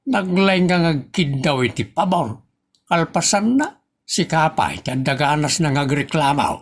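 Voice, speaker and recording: A man's voice: on the high side, sing-song, a little slow, slightly rough, full character voice. A man with an Ilocano accent playing a grumbling, exasperated village father in a comedy audio drama, complaining in exclamations. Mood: indignant.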